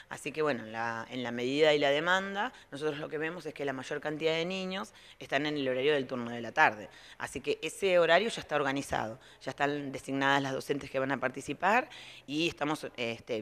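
A middle-aged Argentine woman speaks as a school director in a spontaneous TV interview. She explains step by step, often halting to rephrase. Her voice is picked up on a handheld reporter's microphone in a large indoor room. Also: rumbling low, fairly bright tone.

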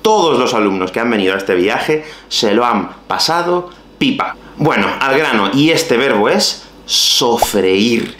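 Lively Spanish teacher in echoey room. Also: male voice, mid-range voice. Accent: Spain Spanish. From Spain. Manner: lively, expressive, playful asides. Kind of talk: teaching Spanish vocabulary to camera. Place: small bright room at home.

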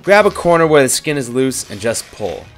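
A young man narrating his cooking vlog with deadpan, irreverent jokes. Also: mid-range voice, normal speed, faint music behind. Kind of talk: joking cooking voice-over.

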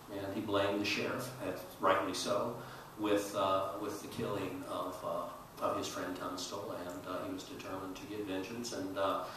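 An older man speaking as a historian on a panel, telling history to an audience in a measured, halting way. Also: low-pitched. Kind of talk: storytelling panel talk to an audience. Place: large auditorium stage. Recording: roomy echoey hall, distant mic, faint noise.

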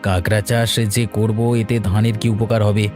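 A man's voice: on the low side, level tone, brisk, music in the background, close to an everyday voice. A man playing an earnest, plain-spoken village rice farmer and father in an educational cartoon. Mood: worried, questioning.

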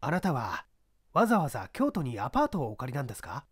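A man voicing a polite, persistent detective who questions suspects in a measured way in an audiobook. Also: mid-range voice, wildly swooping pitch, slightly rough, voice pushed down. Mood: inquisitive. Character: composed, probing male detective questioning a suspect. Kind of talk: dramatised audiobook reading.

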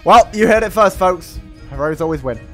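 A man in his twenties giving excitable, sweary casual commentary while gaming with friends, on a close microphone. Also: sits high, moderate pace. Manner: excitable, exclamatory, swears freely. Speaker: game streamer. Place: indoor room streaming setup. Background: video game music.

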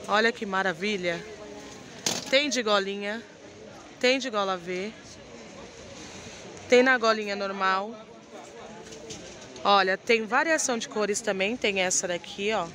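A woman's voice: medium pitch, unhurried, long pauses, fairly bright tone. A woman with a Brazilian Portuguese accent hosting a shopping vlog, praising clothes in an enthusiastic sales patter. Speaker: vlog host showing market clothes. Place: busy night street market outdoors. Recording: noisy street with traffic. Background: street and traffic noise.

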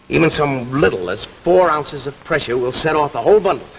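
A man's voice: medium pitch, slight background noise, natural voice. An actor playing an earnest, anxious middle-aged father and husband in 1950s television drama. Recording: muffled 1950s television soundtrack, loud music.

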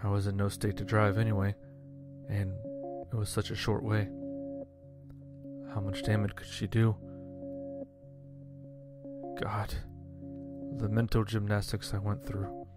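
A man narrating a scripted first-person horror story in a measured, dramatic way. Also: fairly low pitch, completely flat delivery. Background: music.

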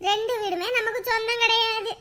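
A kindly family elder who offers homely comfort in a soft, folksy way. Her voice is very high and bright, with faint noise and a few clicks behind it. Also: flat delivery, a little fast. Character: kindly older woman of the family, soothing. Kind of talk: TV serial drama.

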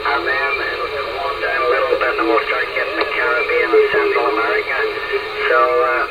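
A man's voice: mid-range voice, monotone, brisk, gravelly. A man chatting casually as an amateur radio operator, heard through a radio transmission. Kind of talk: casual radio contact chat. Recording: dull noisy shortwave radio reception.

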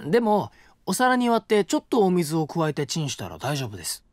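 An actor playing a polite, gentle young college student who cooks and patiently explains recipes. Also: male voice, on the high side, huge pitch swings, fairly quick, no echo, studio quality. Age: young adult. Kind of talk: studio audio drama. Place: dry studio.

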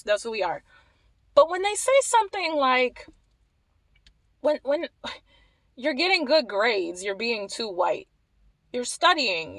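Wry, animated young woman, dry sound. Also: fairly high pitch, no echo, poor audio. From Maryland, USA. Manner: animated, wry, repeats herself when emphasizing, laughs at points. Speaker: vlogger. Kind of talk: casual commentary to camera. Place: small indoor room against a plain wall.

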